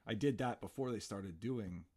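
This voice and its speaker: A man's voice: on the low side, normal speed, warm tone, husky. A man hosting a casual interview, chatty and friendly, asking open questions. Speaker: podcast host.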